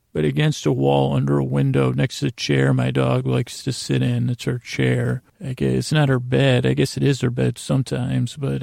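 American guy, super creaky voice, pretty monotone, wanders off and restarts sentences like a bedtime podcast host. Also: medium pitch, no room sound, studio quality. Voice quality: creaky, gravelly. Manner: meandering, halting, self-interrupting, digressive. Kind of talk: rambling bedtime monologue.

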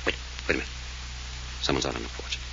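Brisk tough detective, deep voice, quick delivery, lo-fi radio, hiss. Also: male voice, steady tone. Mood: firm. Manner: brisk, firm, matter-of-fact. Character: tough, level-headed male adventurer detective. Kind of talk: 1940s radio acting. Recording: dull lo-fi old radio transcription with clear hiss.

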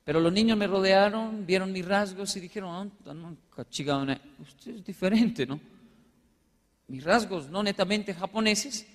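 A man from Colombia with a Colombian accent, giving a humorous storytelling talk as a motivational speaker, acting out little dialogues in an animated manner. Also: sits high, normal speed, in a large hall. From Colombia, with Japanese background. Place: large hall with live audience.

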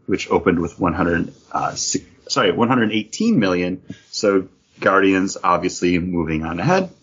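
A man's voice: deep voice, a bit bright, lo-fi sound. A man hosting a podcast in casual, chatty talk with friends. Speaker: podcast host. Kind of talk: casual panel chat about beer and geek news.

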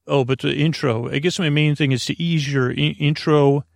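A man's voice: on the high side, fairly even intonation, moderate pace, gravelly, dry recording, studio quality. A man hosting a bedtime podcast, rambling and meandering with lots of fillers and restarts, amused by his own tangents. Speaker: podcast host.

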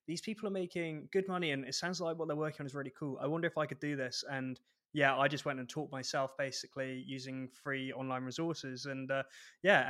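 A man's voice: medium pitch, bright, forceful tone. A man hosting a podcast, talking in a chatty way and laughing easily. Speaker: podcast host. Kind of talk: casual podcast interview.

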